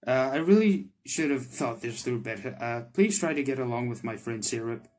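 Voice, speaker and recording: A man's voice: medium pitch, expressive, normal speed, a little mellow, a little hiss or hum, close to an everyday voice. A man voicing a flustered, kind-hearted young male magician from a game, hesitant and apologetic, with music behind. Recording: background music under the voice.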